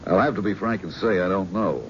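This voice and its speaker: An actor playing a calm, probing male psychiatrist who speaks in a measured, frank way. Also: sits low, a little hiss or hum, close to an everyday voice. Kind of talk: 1970s radio drama acting. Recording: dull vintage radio broadcast.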